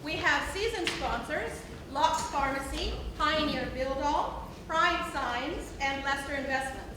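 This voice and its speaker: High-voiced woman in an echoey theatre. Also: unhurried. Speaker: theatre director introducing a performance. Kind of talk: informal welcome speech to an audience. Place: indoor theatre, distant microphone. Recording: echoey theatre from far off, noisy.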